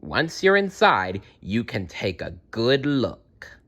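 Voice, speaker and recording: A wicked old witch from a storybook, acted out by a man reading aloud. The delivery is commanding and scheming, with forceful tone and lively swings in pitch. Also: slow pace, full character voice. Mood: coaxing, scheming. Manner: commanding, menacing. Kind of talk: storybook character voice in a read-aloud.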